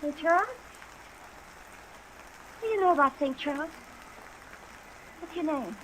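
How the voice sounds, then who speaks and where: A woman's voice: high-pitched, measured pace, a bit bright, rough recording. A woman acting a desperate, distrustful, agitated young woman in a 1950s radio drama. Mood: wary, bitter. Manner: agitated, defiant, suspicious. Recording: vintage radio recording, rain noise behind.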